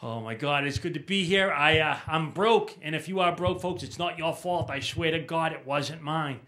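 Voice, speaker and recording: A middle-aged man doing an energetic, punchy stand-up set on a small TV studio stage, into a handheld mic. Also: on the high side, normal speed. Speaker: stand-up comedian. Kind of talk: stand-up routine.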